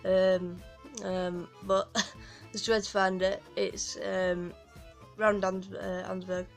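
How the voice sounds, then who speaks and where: Yorkshire lass rambling thru a game guide, mid pitch, pretty monotone, lots of ums. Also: female voice, slow, creaky, soft background music. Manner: rambling, many ums and restarts. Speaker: gaming guide creator. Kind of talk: casual game walkthrough commentary.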